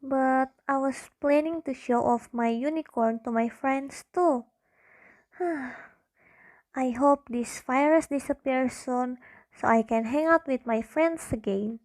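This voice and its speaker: Little girl, acted by a woman, very high pitch, slow pace, childlike, excitable, amateur radio drama. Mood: disappointed. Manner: childlike, earnest.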